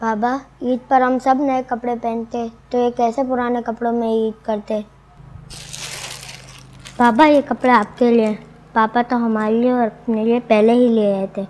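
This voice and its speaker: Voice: mid-range voice, little pitch movement, unhurried, darkish tone, close to an everyday voice. A child actor playing an earnest, apologetic young boy in a moral drama, recorded with noisy ambience. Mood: earnest, pleading. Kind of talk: acted dialogue in a moral drama. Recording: noisy location sound with ambience.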